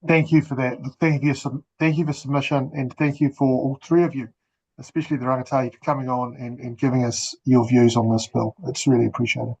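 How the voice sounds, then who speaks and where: Gravelly committee chair, dull lo-fi sound. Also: male voice, mid-range voice, level tone, a little fast, darkish tone, a little hiss or hum. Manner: courteous, brief, uses Māori greetings. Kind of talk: formal meeting chairing. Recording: dull lo-fi audio.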